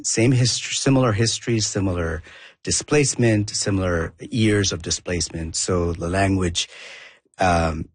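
Interview guest: man from southern India, Tamil first language, husky low voice, reflective and meandering, dry close sound. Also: no room sound. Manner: reflective, rambling with restarts. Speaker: documentary filmmaker guest. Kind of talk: conversational interview.

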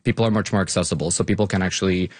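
Low-voiced man in a dry interview. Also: fairly even intonation, rapid-fire, no room sound. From Bosnia-Herzegovina. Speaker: academic guest, longtime fan of the topic. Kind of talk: conversational interview answers.

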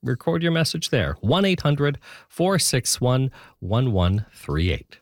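Lively male radio host. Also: mid-range voice, measured pace, dry recording, clean studio sound. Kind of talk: radio hosting and interviewing.

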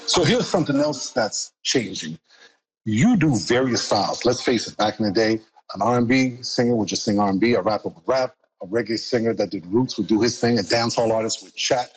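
A man's voice: on the low side, animated, brisk, a little hiss or hum, low-quality recording. A man hosting a radio show, asking a guest leading, conversational questions. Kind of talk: radio interview.

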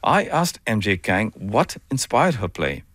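A male radio host with a Canadian accent and a low voice in a dry, close recording. He puts questions to guests and reads scripted introductions in a broadcast style. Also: big pitch swings, a little slow, a bit bright, no echo. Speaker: radio arts interviewer and host.

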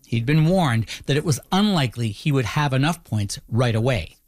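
A man narrating a documentary from a script in a measured, explanatory way. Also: mid-range voice, expressive. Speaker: narrator, host.

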